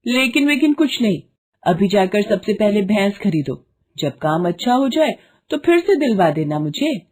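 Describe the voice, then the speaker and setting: Nagging village wife, voiced by a woman, husky. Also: medium pitch, big pitch swings, moderate pace, darkish tone. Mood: insistent. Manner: scolding, pushy, insistent. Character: nagging, ambitious village wife of a poor farmer. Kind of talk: animated children's cartoon story dialogue.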